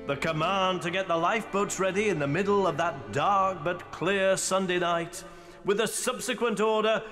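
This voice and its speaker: A solemn preacher speaks in a long, churchlike reverb over music. His delivery is slow and oratorical, pitched a little high for a man, as in a radio drama eulogy. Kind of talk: radio ballad drama, funeral oration.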